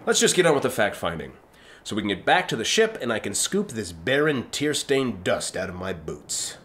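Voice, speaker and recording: Man playing a pompous, animated starship captain. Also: on the low side, normal speed, a little hiss or hum. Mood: smug, impatient. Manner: haughty, theatrical, dismissive. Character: pompous, self-centred, sarcastic male starship captain. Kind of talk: comedic sci-fi radio play acting.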